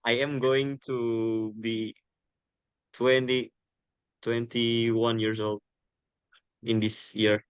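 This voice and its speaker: A man's voice: mid-range voice, little pitch movement, extremely slow, long pauses, clear, resonant tone, dry recording. A twenty-year-old man, a non-native English speaker and university student, interviewing a classmate with hesitant speech full of fillers.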